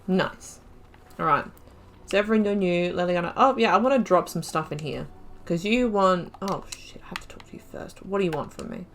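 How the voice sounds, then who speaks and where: A woman with a lowish voice chats casually through her game playthrough, giggling and muttering asides to herself. There is a faint hiss in the recording. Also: moderate pace, fairly bright tone. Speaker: gaming YouTuber. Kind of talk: casual let's-play commentary.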